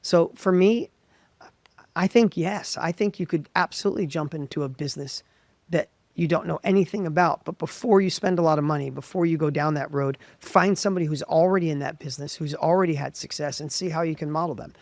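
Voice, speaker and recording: A middle-aged man from the United States telling his story as an interview guest, with a high voice, animated and full of quick restarts. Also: creaky, no room sound. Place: professional studio.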